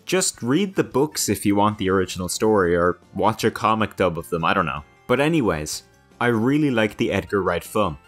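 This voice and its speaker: A young man delivers casual video commentary in a fairly low voice. He is jokey and self-deprecating. Quiet music runs in the background. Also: very animated, normal speed. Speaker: guest commentator.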